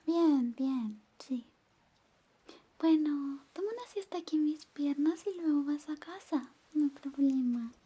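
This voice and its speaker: A woman's voice: very high voice, mellow, voice pushed up. A woman playing a clingy, jealous young best friend in a coaxing, whispered ASMR roleplay. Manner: coaxing, possessive, pleading.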